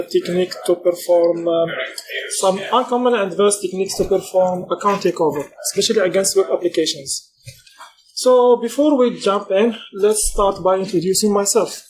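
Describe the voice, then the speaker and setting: A man in his thirties presents a technical talk at a conference. His voice sits fairly high, and his delivery is halting as he often stops mid-sentence to find the right word. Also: noisy background. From Morocco. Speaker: conference speaker.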